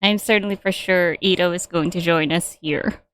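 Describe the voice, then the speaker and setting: A woman's voice: on the low side, fairly even intonation, normal speed, a bit bright. A woman giving casual, fragmentary commentary to herself as she plays a video game. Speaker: gamer recording a playthrough. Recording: clear game noise behind the voice.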